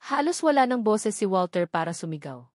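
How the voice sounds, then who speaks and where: A woman's voice: mid-range voice, big pitch swings, a little fast, dry recording. Woman narrating fiction, close dry sound. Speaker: audiobook narrator. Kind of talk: dramatic scripted story narration.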